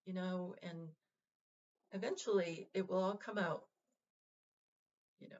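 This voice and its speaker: A woman of middle pitch talks straight to her viewers, gentle and reassuring. She pauses often and restarts her phrases. The recording sounds dull and lo-fi. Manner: halting, full of fillers and restarts, gentle and reassuring. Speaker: vlog host. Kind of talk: casual heartfelt address to viewers.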